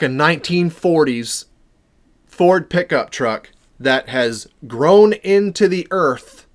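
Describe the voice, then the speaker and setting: A man telling a personal story in a casual, animated way, acting out bits of dialogue as he goes. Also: mid-range voice. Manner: acts out dialogue within the story.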